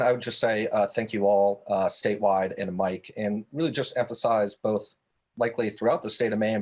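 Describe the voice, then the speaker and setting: A husky man giving formal, prepared-sounding remarks as a panelist on a video call. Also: lowish voice, darkish tone. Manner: formal, jargon-heavy phrasing. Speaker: panelist, economic development director.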